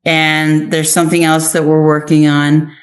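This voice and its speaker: Woman, American accent, low register, earnest and emphatic interview guest. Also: completely flat delivery, a little slow. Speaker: interview guest, actress and author. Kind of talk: conversational interview answers.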